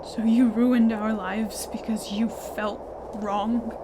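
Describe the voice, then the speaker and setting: A woman playing an angry, betrayed figure in an audio drama, accusing in a forceful, drawn-out way. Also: a little high, little pitch movement. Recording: clear background noise and ambience.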